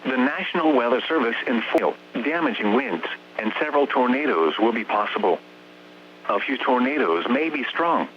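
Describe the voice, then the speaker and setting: Automated American male announcer, lower-pitched than some, formal and measured synthetic bulletin read over dull radio with faint hum. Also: lively intonation. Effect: synthetic text-to-speech voice. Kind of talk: automated scripted weather bulletin. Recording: muffled, noisy radio broadcast with hum.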